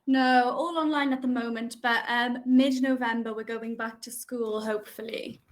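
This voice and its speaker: A woman's voice: fairly high pitch, steady tone, moderate pace. A young woman from the UK who teaches English, chatting casually on a video call with lots of ums and hesitations. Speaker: online English tutor.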